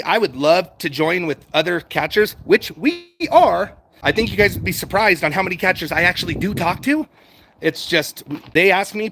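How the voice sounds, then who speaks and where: A middle-aged American man with a fairly high voice talks off the cuff as a livestream host. He rambles and circles back on his points in a conversational, unscripted way, as if answering a chat. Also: fairly bright tone. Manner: rambling, repetitive, conversational, swears casually. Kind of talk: casual unscripted chat, reading out and answering comments.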